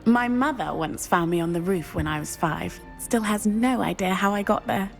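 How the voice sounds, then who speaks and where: A woman's voice: mid-range voice, moderate pace, vocal fry, soft background music, close to an everyday voice. A woman playing a bold, playful teenage witch in a video game, chatty and exclamatory with a British accent. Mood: chatty, amused. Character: bold, breezy, thrill-seeking teenage witch schoolgirl. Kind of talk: scripted video game dialogue.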